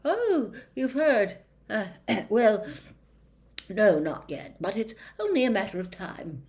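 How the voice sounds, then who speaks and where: A woman's voice: medium pitch, sing-song, takes it slowly, darkish tone. A woman voicing a jovial, blustering middle-aged male government minister in a storybook reading, effusive and given to hemming. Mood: awkward, hedging. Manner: effusive, hesitant, hemming and throat-clearing. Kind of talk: audiobook character reading.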